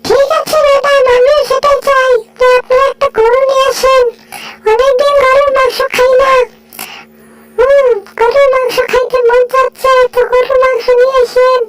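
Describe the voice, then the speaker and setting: Tiny, extremely squeaky cartoon critter. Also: female voice, flat delivery, moderate pace, soft background music, rough recording. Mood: eager. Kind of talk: homemade cartoon voice-over.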